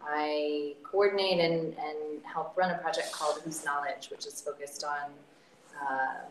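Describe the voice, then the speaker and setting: American woman talking slow and low, roomy noisy video call. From California, United States. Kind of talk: unscripted self-introduction. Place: high-ceilinged indoor room. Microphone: shared device on a group video call.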